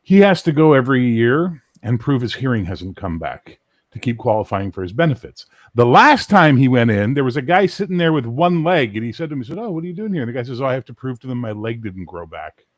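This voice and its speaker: A man with a low voice talking in a casual podcast chat, ranting and swearing with strong opinions. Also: fast, dry recording. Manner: animated, swearing, opinionated rants.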